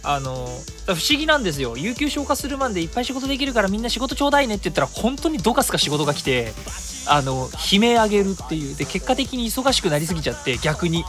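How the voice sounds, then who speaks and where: Lively solo radio host, very animated pitch. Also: fast, faint music behind. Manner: chatty, polite speech mixed with colloquial asides. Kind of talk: casual solo radio talk.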